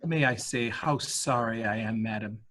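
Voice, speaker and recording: A man's voice: mid-range voice, moderate pace, a bit bright, close to an everyday voice. A man playing a polite, formal manservant in an amateur murder mystery play. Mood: deferential. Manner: formal, deferential. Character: polite, deferential dismissed manservant.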